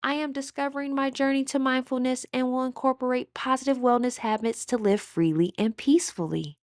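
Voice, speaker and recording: A woman leading a guided meditation in a measured, instructive way, with drawn-out phrases and audible breaths. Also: high voice, steady tone, dry recording, clean studio sound.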